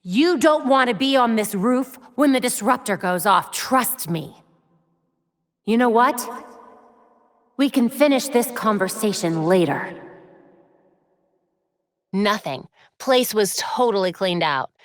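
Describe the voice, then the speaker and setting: A woman's voice: on the high side, lively intonation, takes it slowly, pauses often, no echo, clean studio sound. An actress voicing a witty, determined young female vigilante and detective in scripted video game lines, with a wry, earnest delivery. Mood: urgent, intense. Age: young adult.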